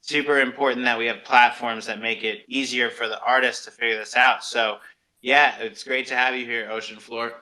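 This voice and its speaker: A man speaks as the host of an interview show. His voice is strikingly bright and forceful, sitting at a medium pitch. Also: steady tone, moderate pace, rough recording. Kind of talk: interview hosting.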